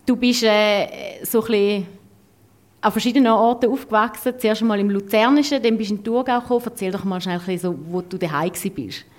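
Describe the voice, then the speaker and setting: A woman's voice: medium pitch, fast. A woman with a Swiss German accent, hosting a podcast interview in a casual, chatty style. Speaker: podcast host.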